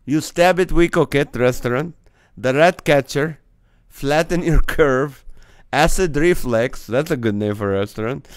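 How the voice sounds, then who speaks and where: A man's voice: medium pitch, unhurried, dry recording. A man who grew up in the Middle East, hosting a casual live-stream comedy chat and laughing between his jokes. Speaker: comedian host.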